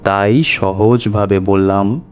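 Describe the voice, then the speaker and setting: A man narrating a scripted horror story in the first person, in a measured, deliberate way. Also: on the low side, fairly even intonation, clear, resonant tone, dull audio. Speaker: storyteller narrator voicing a tantric recounting his past. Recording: music bed under the voice. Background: music.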